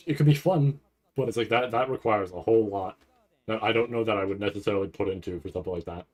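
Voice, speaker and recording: A man's voice: fairly low pitch, fast talker. A man hosting a casual livestream, rambling and thinking aloud in loose, sometimes profane language. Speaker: livestream host reacting to anime event. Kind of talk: casual live stream chat.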